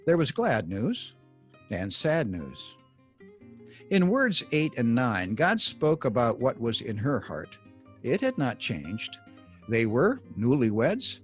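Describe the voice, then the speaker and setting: A man reading scripted Bible teaching like an audiobook author, deliberate and emphatic, with measured pauses. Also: mid-range voice, huge pitch swings, soft background music. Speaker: author reading own book excerpt.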